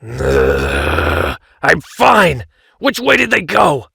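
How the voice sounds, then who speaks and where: A man playing a gruff, determined werehog beast in an audio drama, with a growling, gravelly voice. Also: medium pitch, huge pitch swings, extremely slow, pushed and bright, no echo, clean studio sound, full character voice. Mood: gruff, urgent. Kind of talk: audio drama character acting.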